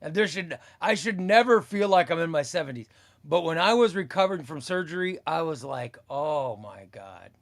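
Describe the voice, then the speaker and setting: A man's voice: a little high, lively intonation, normal speed. A man in his fifties hosting a comics livestream, chatting casually as he reads viewer comments aloud, rambling and repeating his phrases. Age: 50s. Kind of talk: casual chat reading live comments.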